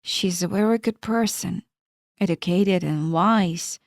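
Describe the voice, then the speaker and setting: A woman's voice: lowish voice, takes it slowly, vocal fry, no room sound, clean studio sound. A woman narrating a first-person life story in a plain, matter-of-fact reading.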